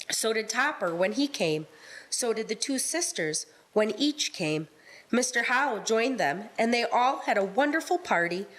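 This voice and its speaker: A woman's voice: a little high, rough recording. A woman narrating a story from a script in a live radio play.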